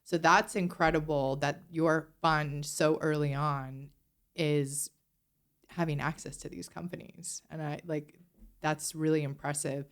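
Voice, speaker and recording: Woman podcast host, low voice, chatty and curious, casual interview. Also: steady tone, unhurried.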